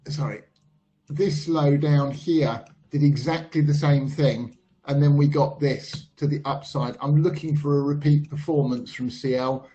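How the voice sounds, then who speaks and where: A man, losing his voice a little, talking through trading charts in a measured way with frequent pauses and ums. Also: on the high side, steady tone, dark tone. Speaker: market analyst. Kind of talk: screen-share chart commentary. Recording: slightly dull lo-fi voice-over.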